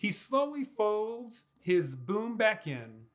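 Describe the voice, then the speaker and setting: A young man reads a picture book to children. His voice sits high for a man and swings widely in pitch. He takes his time, stretching words out in a playful, expressive style. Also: slow. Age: young adult. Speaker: pastor reading to children. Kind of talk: animated children's storybook read-aloud. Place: small furnished room indoors.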